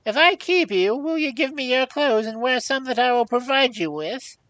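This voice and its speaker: A woman's voice: high voice, lively intonation, moderate pace, fairly bright tone, dry recording, voice pushed up, poor audio. A woman voicing a miserly old hag in a storybook, grumbling, sarcastic and mocking as she haggles. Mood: cross, scheming. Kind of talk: storybook character voice.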